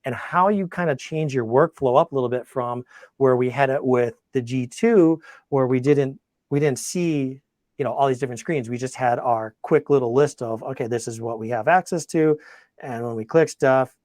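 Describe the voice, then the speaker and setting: Male webinar presenter, conversational, thinking out loud, technical product demo, dry close-miked sound. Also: mid-range voice, normal speed, dry recording. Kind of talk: informal technical webinar demo, answering questions.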